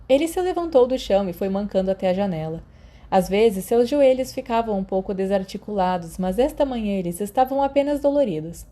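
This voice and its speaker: A woman's voice: medium pitch, animated, fairly quick, warm tone. A woman with a Brazilian accent reading a story aloud as a scripted narration. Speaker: audiobook narrator.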